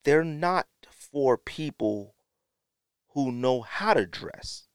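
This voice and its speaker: A man's voice: mid-range voice, expressive, drawn-out words, smooth, dry recording, clean studio sound. A man hosting a podcast with opinionated commentary, sniffly from a runny nose and repeating phrases for emphasis. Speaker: podcast host. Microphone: podcast microphone, close.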